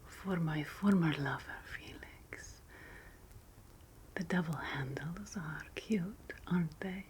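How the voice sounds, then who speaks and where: A woman playing a wry, spiteful ancient Roman witch in a whispered ASMR roleplay, breathy and sweetly sarcastic. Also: on the low side, a little hiss or hum. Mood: sly, confiding. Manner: slow, deliberate, sweetly sarcastic.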